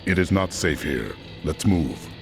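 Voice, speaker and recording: A resolute fallen angel, now mortal, speaking in a very deep, deliberate man's voice. His words come slow and stern, with booming music swelling behind the game cutscene. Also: animated, gravelly, heavily acted. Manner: stern, measured. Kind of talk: video game cutscene acting.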